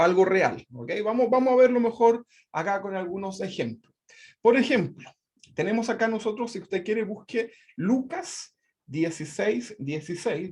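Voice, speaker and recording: Chilean guy teaching bible class on a video call, pretty high pitched, says everything twice. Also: a little mellow. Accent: Chilean Spanish. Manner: repeats points, keeps asking "¿verdad?". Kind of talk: online Bible class lecture.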